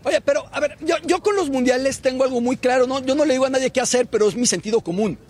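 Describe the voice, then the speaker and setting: A man's voice: very high-pitched, fast talker, darkish tone. A man with a Mexican accent giving casual opinions on football as a panelist. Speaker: sports show panelist.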